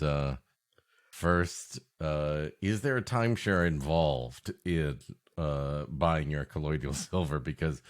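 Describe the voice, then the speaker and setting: A man with a low voice co-hosts a comedy podcast. He improvises jokes and tangents in a dry, sardonic manner, keeping the banter casual. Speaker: podcast co-host.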